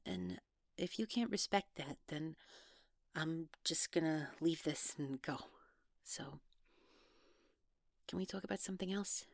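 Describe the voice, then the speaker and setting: A woman's voice: low voice, dry recording. Woman acting guarded, hesitant young woman, dry close sound. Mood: guarded, uneasy. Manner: hesitant, halting, trailing off, nervous laughs. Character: guarded young woman, defensive, awkward, newly in love. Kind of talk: naturalistic one-sided audio roleplay acting.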